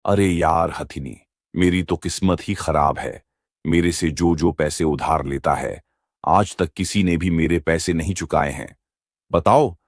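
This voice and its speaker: A man's voice: sits low, darkish tone, no room sound, clean studio sound, full character voice. A man voicing a bear moneylender in a children's moral-story cartoon, dramatic and lamenting in manner. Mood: aggrieved. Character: male bear moneylender, scheming yet remorseful. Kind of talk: Hindi animated moral story dialogue.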